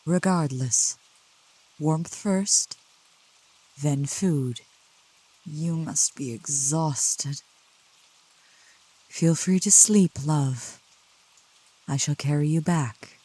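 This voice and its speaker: A woman voicing a gentle, teasing, possessive female demon of the woods in a soft, low ASMR roleplay, with tender, formal wording. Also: drawn-out words, pauses often, breathy edge, exaggerated voice, rough recording. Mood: doting, soothing. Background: rain.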